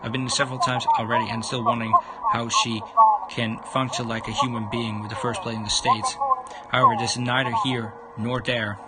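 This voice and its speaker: Man reading haltingly over loud noise. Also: mid-range voice, very animated, vocal fry. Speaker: game livestreamer. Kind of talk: reading a visual novel aloud with commentary.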